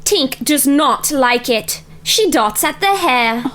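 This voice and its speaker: A woman's voice: sits high, expressive, slow, fairly bright tone, full character voice. A woman narrating an audio drama from a script.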